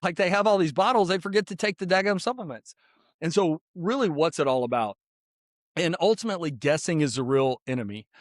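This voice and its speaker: A man of fifty, hosting a podcast in a casual, energetic monologue full of "like" and repeated points. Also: fairly high pitch, fairly quick, no echo, clean studio sound. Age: 50s. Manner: energetic, repetitive, filler-heavy persuasive talk. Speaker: podcast host.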